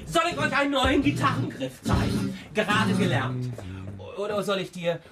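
A man playing a kindly, lively old grandfather in a children's radio play, animated and good-humoured. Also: high voice, moderate pace. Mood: helpful. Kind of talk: radio drama dialogue. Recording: studio radio play with music underneath.

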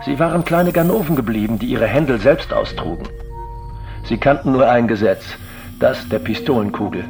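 Scornful drug lord, mid-pitched. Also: male voice, lively intonation, normal speed, vocal fry, a little mellow, faint music behind. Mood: scornful, reflective. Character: ambitious male drug lord, scornful, cynical. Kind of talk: radio drama monologue.

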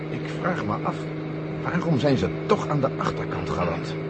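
An actor playing a brisk, gruff army general with a clipped, commanding manner in a 1970 radio drama. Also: male voice, mid-range voice, big pitch swings, close to an everyday voice. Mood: matter-of-fact. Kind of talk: 1970 Dutch science-fiction radio drama acting. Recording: loud engine noise behind the voice. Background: helicopter engine drone.

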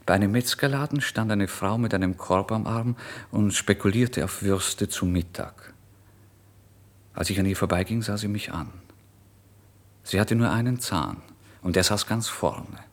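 An actor playing a poor, nervous, irritable young writer in a literary radio monologue, brooding and expressive, moving between hushed and forceful delivery. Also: male voice, on the low side, measured pace. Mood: uneasy, disgusted. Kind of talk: literary first-person radio drama monologue.

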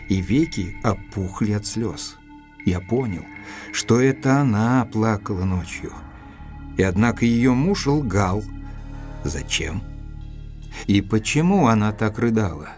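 An actor playing a thoughtful gentleman investigator, narrating a mystery in a measured, deliberate literary style. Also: fairly low pitch. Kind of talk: dramatized first-person literary narration.

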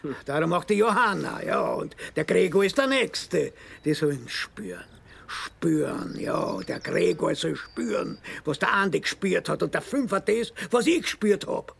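Vengeful grieving father, radio drama, high voice, gravelly and husky. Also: sing-song, a little slow, heavily acted. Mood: cold menace. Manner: bursts from cold menace into shouting grief. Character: vengeful grieving father, middle-aged man, bitter.

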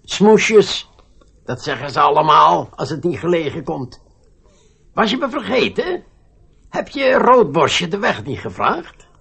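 Creaky, nagging little gnome. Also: male voice, a little high, sing-song, a little slow, a bit bright, heavily acted. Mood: reproachful. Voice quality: creaky, rough. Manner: needling, reproachful, swooping. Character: crafty, nagging little gnome man, sharp-nosed. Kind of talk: radio play acting.

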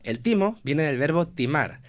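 A man's voice: fairly high pitch, very animated, normal speed. A man with a Manchego Spanish accent presenting a comedy segment in a radio studio, joking in a dry, self-mocking way. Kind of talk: scripted comic radio banter.

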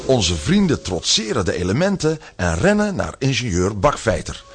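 A man's voice: lowish voice, huge pitch swings, normal speed. A man giving dramatic scripted narration as a storyteller.

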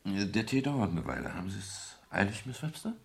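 An actor playing a middle-aged private detective, courteous, wry and a little hesitant, in a German radio crime drama. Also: male voice, on the low side, big pitch swings, brisk, vocal fry, husky, exaggerated voice. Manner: hesitant, politely ironic. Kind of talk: 1970s German radio crime drama, dialogue and first-person narration.

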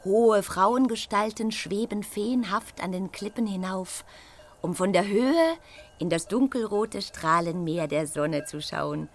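An actress playing a poetic narrator, reading scripted literary scenery in a measured, lyrical way. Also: female voice, mid-range voice, close to an everyday voice. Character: poetic female narrator of romantic landscapes.

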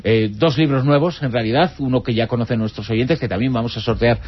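A man's voice: medium pitch, fairly quick, slightly rough. A man hosting a late-night radio talk show from a studio, introducing guests with many hesitant fillers. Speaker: radio host. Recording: dull lo-fi radio broadcast.

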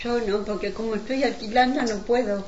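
Una mujer con acento argentino interpreta a una vecina insegura que charla en un radioteatro comunitario. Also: female voice, fairly high pitch, fairly even intonation, brisk, darkish tone, lightly acted. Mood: unsure. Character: unsure neighbour woman asking simple questions. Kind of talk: amateur community radio play, casual chat. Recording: muffled, echoey, noisy amateur recording.

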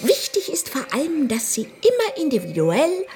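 A woman's voice: sits high, huge pitch swings, moderate pace, mellow, soft background music. An actress playing a bossy, eccentric older lady in a radio play, imperious, wry and teasing. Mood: chatty, wry.

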